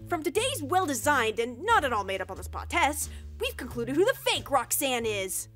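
A cheeky little boy played by an actor with a very high voice and lively, excitable intonation. He is blunt and mischievous, a cartoon kid with music behind him. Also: normal speed. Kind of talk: animated comedy voice acting.

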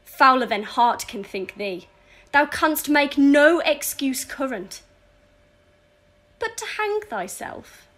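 A woman's voice: high-pitched, lively intonation, takes it slowly, a bit bright, heavily acted. A woman playing a grieving, furious widow in a theatrical, scornful verse audio drama. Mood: bitter contempt. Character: grieving, furious widow cursing her husband's killer.

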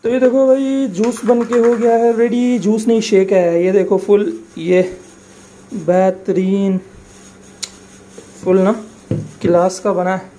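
A man's voice: high voice, fairly even intonation, takes it slowly, long pauses. A young man chatting casually to viewers while making a shake in his kitchen and waiting at a drive-through. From Pakistan. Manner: easygoing, chatty, addresses viewers as brother. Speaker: vlogger. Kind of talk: casual vlog chat. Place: home kitchen, then a car in a drive-through. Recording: noisy handheld phone audio.

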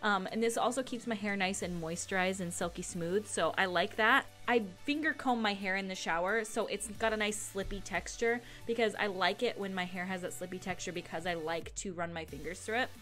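A woman's voice: mid-range voice, brisk, few pauses, fairly bright tone. A young woman, giving a casual, laid-back tutorial voice-over as a beauty vlogger. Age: young adult. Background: background music.

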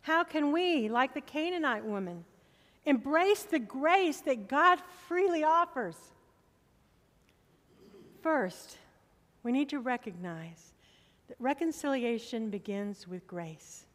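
A middle-aged woman pastor preaching a sermon from the pulpit of a church, with slow, emphatic appeals to the congregation. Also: high voice, lively intonation, in a large hall.

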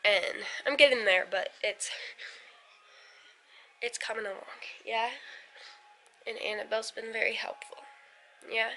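A young American woman with a fairly high, bright voice talks casually to a handheld camera at home. She rambles along in an informal, chatty way, with clear background noise in the recording. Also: fairly even intonation, measured pace, long pauses. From United States. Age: late teens to about twenty. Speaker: vlogger, young mother. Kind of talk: casual family vlog chat. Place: indoors at home. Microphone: handheld camera at arm's length.

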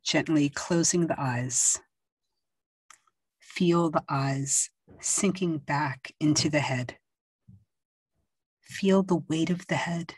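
A woman guides a relaxation meditation in a low, husky voice. She speaks very slowly and gently, leaving long pauses between soft instructions. The recording is dry and close. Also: no room sound. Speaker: yoga nidra teacher.

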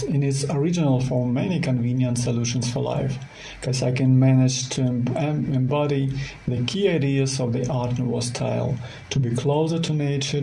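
Creaky, mellow Russian-accented man guiding a tour. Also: medium pitch, a little hiss or hum, poor audio. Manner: halting, careful non-native English. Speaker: tour guide. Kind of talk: scripted virtual tour narration.

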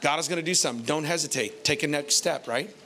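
A man in his forties with an American accent preaching an informal, animated, conversational sermon into a handheld microphone on a church stage. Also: fairly high pitch, fast. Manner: conversational, animated, colloquial, repeats phrases for emphasis. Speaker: pastor.

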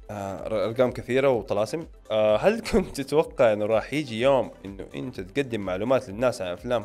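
A man's voice: medium pitch, a little mellow. A man in his late twenties with a Hejazi Saudi accent, chatting informally to viewers as he reads out questions and answers them, close to a studio microphone. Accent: Saudi Hejazi Arabic. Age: late 20s. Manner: informal, conversational, addresses viewers directly. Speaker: film and series YouTuber. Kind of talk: casual Q&A to camera, reading viewer questions aloud and answering. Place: small indoor home studio. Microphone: microphone on a stand close in front.

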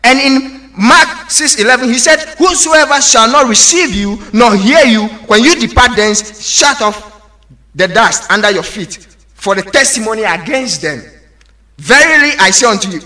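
A man's voice: extremely high pitch, sharp tone. A man preaching an evangelical sermon, exhorting emphatically and putting rhetorical questions to his listeners.

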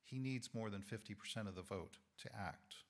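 A man in his sixties giving a measured, earnest sermon-style talk to a congregation, on a lapel mic in an indoor hall. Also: lowish voice. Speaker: guest speaker.

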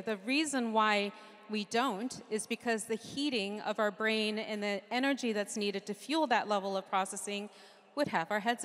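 A woman's voice: a little high, fairly bright tone. A middle-aged woman from Japan giving a conference keynote into a handheld mic, earnest and lightly humorous, listing ideas in rhythmic runs. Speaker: keynote speaker. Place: large conference stage with audience. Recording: long hall reverb from a big venue.

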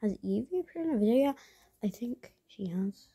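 A woman's voice: on the high side, lively intonation, a little fast, dark tone, slightly rough. A young woman, chatting casually in her bedroom at night while showing plush toys to a handheld camera, rambling with many fillers and dropping into whispers. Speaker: vlogger.

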